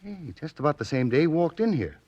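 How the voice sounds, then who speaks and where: A male newspaper editor in old-time radio drama style, with a lively, swooping delivery. He talks in clipped, colloquial phrases, reluctant yet obliging, on a muffled vintage recording. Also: fairly high pitch, fairly quick. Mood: hesitant, obliging. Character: male newspaper editor, plain-spoken, obliging but hesitant. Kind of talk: 1940s radio drama acting.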